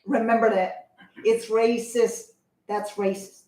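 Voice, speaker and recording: A woman talks on a show in a forceful, lecturing way, pressing each point home. Her voice sits a little high, and the room adds a noticeable echo. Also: flat delivery, slow pace. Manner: emphatic, lecturing, insistent. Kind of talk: opinionated talk show discussion.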